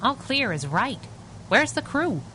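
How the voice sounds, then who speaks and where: A woman's voice: fairly high pitch, very animated, unhurried, pushed and bright, full character voice. Plucky female crimefighting sidekick, vintage radio serial; sassy, wry, light background noise. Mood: wry banter. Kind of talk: 1930s-style radio adventure acting.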